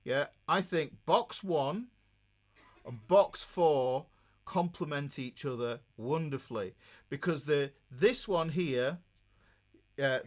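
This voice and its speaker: A man's voice: a little high, a bit bright. A man giving an informal guitar lesson, talking conversationally and often checking in with the listener as he demonstrates. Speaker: guitar teacher. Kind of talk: informal instructional talk with demonstrations.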